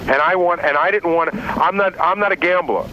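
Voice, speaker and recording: A man's voice: high voice, brisk, pushed and bright, a little hiss or hum, rough recording. A man arguing insistently and with exasperation in a heated phone dispute, in a radio playback. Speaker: caller confronting his stockbroker.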